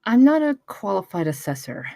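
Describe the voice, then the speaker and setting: A woman's voice: medium pitch, very animated, brisk, vocal fry, darkish tone, dry recording, clean studio sound. A young woman at a film table read playing an earnest, insistent intern investigator in her early twenties. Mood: defiant.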